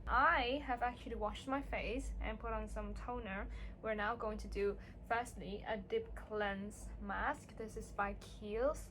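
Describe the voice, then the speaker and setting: A woman's voice: fairly high pitch, fairly even intonation, a little slow, a bit bright, a little hiss or hum. A young woman whose first language is Cantonese, chatting casually and self-deprecatingly at home while doing a skincare routine for her vlog. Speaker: beauty vlogger. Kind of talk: casual get-ready-with-me chat. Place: home room.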